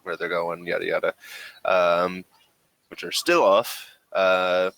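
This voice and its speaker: A man improvising as game master of a tabletop role-playing game, talking casually and haltingly. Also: low-pitched, flat delivery, vocal fry, a bit bright.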